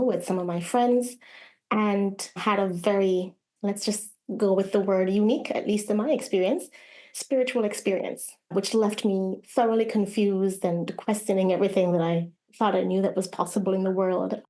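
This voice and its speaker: A woman with a medium-pitched voice is interviewed as a playwright. She tells her own story in an expressive, conversational way, sometimes voicing quotes. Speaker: guest playwright.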